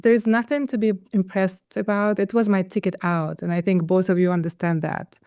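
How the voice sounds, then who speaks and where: Mellow-voiced Ukrainian-born woman. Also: mid-range voice, steady tone, brisk, no echo, clean studio sound. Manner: hesitant, with many restarts and fillers. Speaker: guest, conflict consultant. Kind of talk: interview storytelling.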